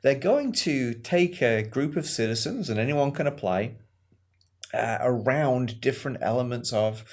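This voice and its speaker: A man co-hosting a local community podcast, talking in an earnest, friendly way with frequent fillers. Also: medium pitch. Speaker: podcast co-host.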